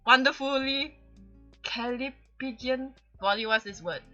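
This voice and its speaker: A woman's voice: sits high, a little slow, pushed and bright, muffled recording, soft background music. A woman with a Singaporean accent playfully reading fan fiction on a livestream, often breaking into giggles. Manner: giggly, often breaking into laughter mid-sentence. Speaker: livestream host.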